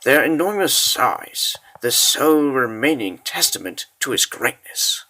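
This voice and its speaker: A man narrating a dark fantasy story in a theatrical, ominous style with deliberate phrasing. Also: medium pitch, expressive. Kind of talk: dramatic scripted fantasy narration.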